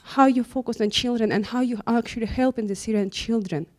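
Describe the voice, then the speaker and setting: A woman's voice: a little high, brisk, darkish tone. A woman from Ukraine with a Ukrainian accent, earnestly asking a panel a question in non-native English. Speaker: audience member asking a question.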